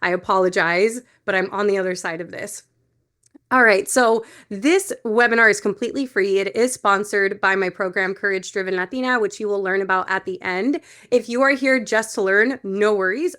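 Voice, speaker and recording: Congested woman coaching with warm encouragement. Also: mid-range voice. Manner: encouraging, direct address to listeners. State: congested, a little nasal from a cold. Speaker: coach and podcast host. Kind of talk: motivational webinar talk.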